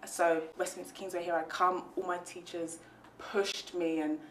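A young woman student chats eagerly while she interviews a guest in a college room. Her voice is a little low for a woman, and the room makes it sound echoey, with faint background noise. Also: flat delivery, normal speed. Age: young adult. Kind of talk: casual interview chat. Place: bright indoor room at a college.

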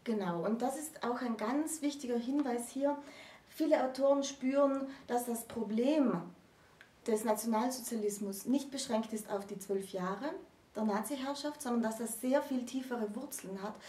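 Woman in her 30s explaining stuff like a host, voice on the high side, roomy room sound. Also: normal speed, dark tone. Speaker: host presenting a literature discussion. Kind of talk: conversational educational presentation. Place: small book-lined room, indoors. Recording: roomy sound, distant microphone.

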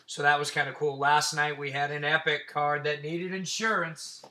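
A man with a raspy, sore throat hosting a casual card-breaking stream, chatting and swearing as he reels off player names. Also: a little high, little pitch movement, normal speed, sharp tone. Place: tabletop card-breaking setup indoors.

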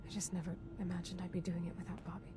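Woman acting a yearning vault dweller, rumbling noise. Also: level tone, very fast, lightly acted. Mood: eager, wistful. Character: young woman itching to leave an underground vault. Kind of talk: video game dialogue acting.

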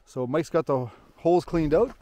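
A man's voice: fairly high pitch, moderate pace, no echo. Chatty man on a frozen lake. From Northern Ontario, Canada. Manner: chatty, excited, talks to viewers. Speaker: vlogger. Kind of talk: casual outdoor vlog narration. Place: frozen lake outdoors in wind. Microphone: head-mounted action camera.

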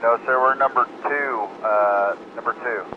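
A man flying as an airline pilot, giving quick, clipped readbacks to the ground controller over the radio. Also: medium pitch, muffled recording. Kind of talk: air traffic control radio. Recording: noisy radio transmission.

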